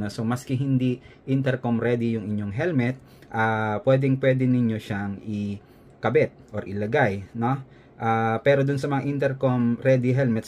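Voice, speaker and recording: A man's voice: medium pitch, a little mellow, a little hiss or hum. A man with a Filipino accent presenting a product in a casual vlog style, explaining conversationally and often checking in with a short tag question. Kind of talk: casual unboxing and product review, explaining as he goes.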